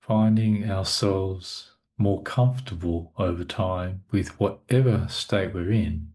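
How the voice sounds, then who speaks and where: A man leading a guided meditation as a teacher, speaking in short, measured phrases with long pauses between them. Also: low-pitched, steady tone, a little mellow.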